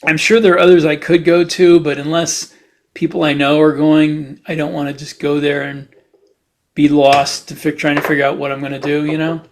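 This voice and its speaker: A man's voice: fairly high pitch, flat delivery, normal speed. A man chatting casually as a podcast host, rambling through anecdotes.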